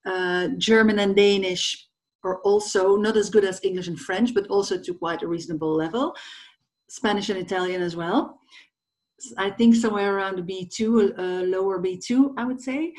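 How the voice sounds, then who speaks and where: A woman's voice: mid-range voice, moderate pace, a little mellow. Flemish woman guest, thoughtful and hesitant. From Belgium, Flanders. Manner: thoughtful, many fillers, light self-deprecating laughs. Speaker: guest, translator. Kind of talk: casual interview chat.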